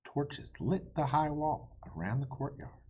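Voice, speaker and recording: Very husky man reading a storybook expressively. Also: fairly high pitch, lively intonation, a little slow, darkish tone, dull audio. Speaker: storybook reader. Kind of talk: expressive read-aloud narration of a children's book.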